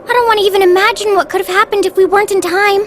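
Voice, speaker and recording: A woman voicing a high-strung, caring young heroine in a video game, with an urgent, emphatic, expressive delivery. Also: very fast, background noise. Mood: worried, tender. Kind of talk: dramatic video game voice acting.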